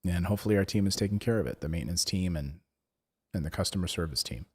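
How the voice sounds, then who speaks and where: A man hosting a live investing show, chatting casually, rambling with lots of fillers and restarts, his voice going hoarse. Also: sits low, fast talker, darkish tone. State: losing his voice. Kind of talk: casual live-stream chat, reading viewer comments.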